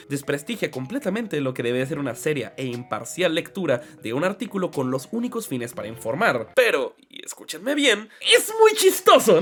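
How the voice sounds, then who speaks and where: Animated young man narrating. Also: on the high side. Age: 20s. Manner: mock-serious, conversational, sarcastic asides. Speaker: video essay narrator. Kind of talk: humorous scripted narration. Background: background music.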